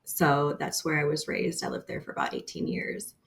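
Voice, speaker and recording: A woman in her thirties with a Bosnian accent speaks as an interview guest over a remote call. Her voice sits low and carries a gravelly fry. She talks earnestly, pausing on fillers as she goes. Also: big pitch swings. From Bosnia and Herzegovina. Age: 30s. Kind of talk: casual interview.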